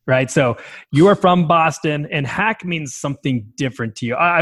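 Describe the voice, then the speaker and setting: Male interview host, casual, encouraging, medium pitch. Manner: easygoing, affirming interviewer. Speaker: podcast host.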